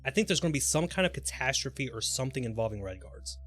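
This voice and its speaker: A man's voice: mid-range voice, animated, fast talker, fairly bright tone. A man chatting casually and informally on a live gaming stream, speculating in a rambling way. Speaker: game livestreamer. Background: background music.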